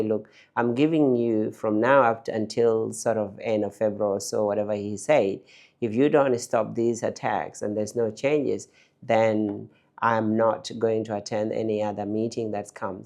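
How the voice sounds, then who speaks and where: A man's voice: on the low side, a little mellow. Male panelist recounting events at a table. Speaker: podcast panelist, peace facilitator. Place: indoor room around a wooden table.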